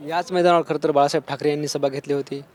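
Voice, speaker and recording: A man's voice: on the high side, fairly even intonation, rapid-fire. A man with a Maharashtrian Marathi accent putting questions as a TV news reporter. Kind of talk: interview questioning.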